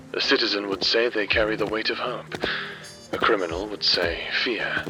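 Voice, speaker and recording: A man playing a sardonic, world-weary private investigator in a fantasy noir audio drama, with a dry, formal, deadpan delivery. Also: fairly low pitch, lightly acted, rough recording. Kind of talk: noir audio drama acting with scripted monologue. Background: background music.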